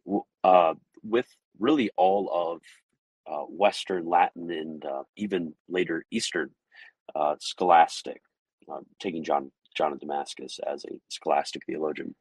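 Man, very low husky voice, dry sound, methodical livestream lecturer speaking from his car. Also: dry recording, poor audio. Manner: methodical, lays out numbered points and explains term by term. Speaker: livestream theology presenter. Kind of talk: informal spoken lecture to a small live audience. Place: inside a parked car.

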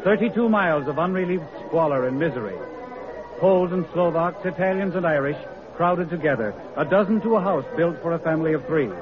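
A man's voice: a little high, brisk, a crowd in the background, natural voice. An actor as a solemn male narrator, delivering declamatory scripted narration in an old radio drama. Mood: solemn, ominous. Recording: muffled old radio recording. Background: loud music.

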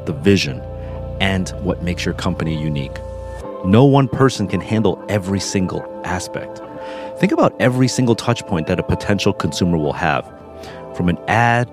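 A man hosting a podcast, reading a measured, instructive narration. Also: sits low. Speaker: podcast host. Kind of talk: scripted narration and casual interview. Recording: music bed underneath.

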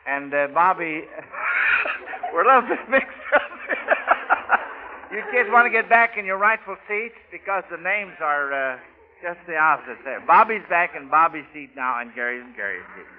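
A man's voice: on the high side, expressive, moderate pace. An American man hosting an old-time radio children's quiz in a folksy, hesitant, chuckling way, often repeating himself. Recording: narrow-band old radio broadcast.

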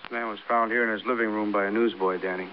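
An actor playing a matter-of-fact police detective with an American accent, giving clipped, businesslike reports in a 1950s radio drama. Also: male voice, on the low side, fairly even intonation, fast, lightly acted. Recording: muffled vintage radio, faint noise.

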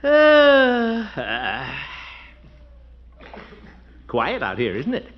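An actor playing a pompous, jovial middle-aged bachelor uncle in a 1940s radio comedy, boastful and flirtatious, with frequent chuckles. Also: male voice, high voice, wildly swooping pitch, extremely slow, exaggerated voice. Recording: dull 1940s radio broadcast with music.

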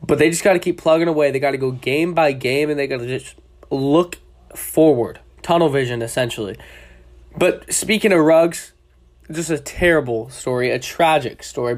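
A man's voice: medium pitch, moderate pace. A young man with a cold and a stuffy, congested voice, hosting a casual sports podcast in a loose, rambling way, often correcting himself mid-sentence. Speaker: podcast host.

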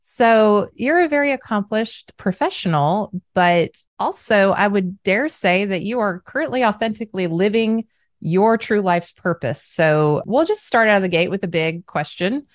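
A woman's voice: mid-range voice, lively intonation, moderate pace, dry recording, studio quality. An enthusiastic woman hosting a business podcast and introducing her guest. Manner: enthusiastic, upbeat. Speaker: podcast host. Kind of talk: podcast interview.

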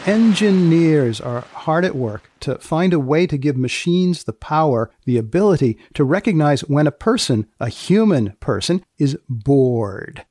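A man presenting a podcast from a script and asking questions, deliberate and deadpan, repeating phrases for effect. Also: fairly high pitch, no room sound, clean studio sound. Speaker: podcast host and magazine editor.

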